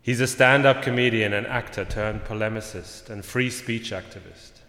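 A man plays a polished academic moderator in a radio drama. He speaks formally and rather pompously in a low-leaning voice, ringing through a very echoey auditorium. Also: moderate pace, a bit bright. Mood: formal, welcoming. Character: polished, courteous male professor moderating a panel. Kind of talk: formal introductions in a radio play. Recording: echoey auditorium with long reverb.

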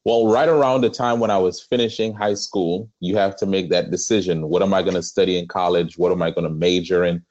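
Deep-voiced Trinidadian pastor, muffled audio. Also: male voice, steady tone, a little mellow. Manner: earnest storytelling with frequent "um" pauses. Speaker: pastor, podcast guest. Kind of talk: conversational interview.

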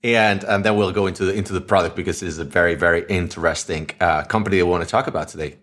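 A man's voice: low voice, very fast, fairly bright tone. A man hosting a tech podcast, interviewing a guest in a chatty way with many restarts and fillers. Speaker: podcast host. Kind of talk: casual interview. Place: Madrid, Spain.

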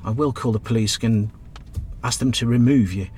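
A man's voice: medium pitch, moderate pace, a little mellow, close to an everyday voice. Guy playing british cab driver in a radio play, chatty, good hearted, bit exasperated, vehicle noise behind him. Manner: chatty, colloquial, calls passenger love. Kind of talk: radio drama acting.

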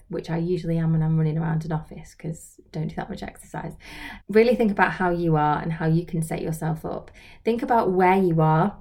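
A woman's voice: on the low side, warm tone. A woman giving an informal instructional talk, reflective and explanatory, often putting questions to the listener. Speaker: social work trainer and video host.

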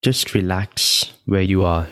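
A man leading a guided meditation in a calm, measured, deliberate manner, leaving long pauses between phrases. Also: deep voice, dry recording, clean studio sound.

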